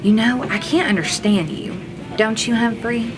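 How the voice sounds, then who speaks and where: A woman's voice: fairly high pitch, moderate pace, background noise, close to an everyday voice. A woman voicing a small, wry but encouraging male pet frog in a children's storybook, with a bouncy, exclaiming delivery. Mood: puzzled, exasperated.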